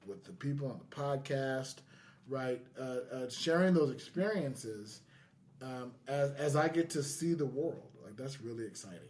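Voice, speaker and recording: A man hosts a casual podcast in a medium-pitched voice. His delivery is earnest and enthusiastic, like someone sharing plans with friends. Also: lively intonation, measured pace, a little mellow. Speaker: podcast host.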